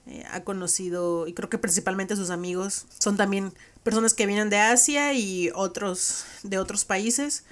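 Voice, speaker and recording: A woman's voice: mid-range voice, moderate pace, few pauses. A woman with a Mexican accent hosting a podcast, recapping a conversation in a reflective, conversational way. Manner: reflective, conversational, frequent "¿no?" tags. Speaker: podcast host.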